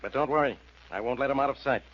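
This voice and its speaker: An actor playing a gruff, exasperated police inspector in 1940s radio style, blunt and commanding. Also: male voice, medium pitch, fast, close to an everyday voice. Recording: dull old radio recording.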